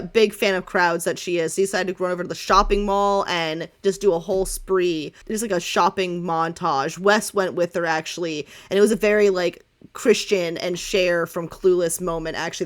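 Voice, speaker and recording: A woman's voice: lowish voice, a bit bright. Woman game master narrating casually.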